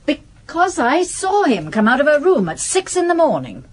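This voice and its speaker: An actress playing a blunt, guarded former servant giving evidence in a radio detective drama, dry and sardonic. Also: female voice, high-pitched, very animated, moderate pace.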